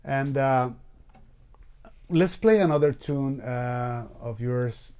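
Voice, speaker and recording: Man hosting a halting live stream chat. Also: medium pitch, darkish tone, clear, resonant tone. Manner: halting, with many fillers and restarts. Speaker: host. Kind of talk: casual live-stream interview.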